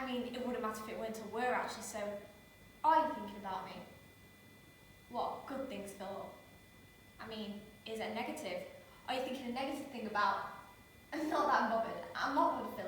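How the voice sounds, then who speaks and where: A woman's voice: a little high, fairly bright tone, slight background noise. A woman playing an insecure, anxious woman who talks too much, rambling and cutting herself off in a stage monologue. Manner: rambling, self-interrupting, defensive outbursts. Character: anxious overtalking woman Leah, insecure and defensive. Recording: echoey room from far off.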